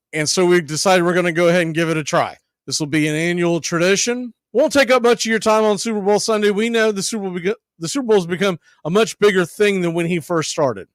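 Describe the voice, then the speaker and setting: Higher-pitched male host, dry close sound. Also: no echo. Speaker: host of a baseball radio show. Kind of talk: casual monologue to listeners about baseball history.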